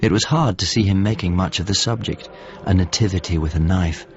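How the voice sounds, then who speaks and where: Low male voice, thoughtful grieving storyteller, measured phrasing, radio drama, muffled sound, music underneath. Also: a little hiss or hum. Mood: reflective. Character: thoughtful male painter's model grieving a lost friend. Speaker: lead actor and narrator. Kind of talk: radio drama, reflective first-person narration.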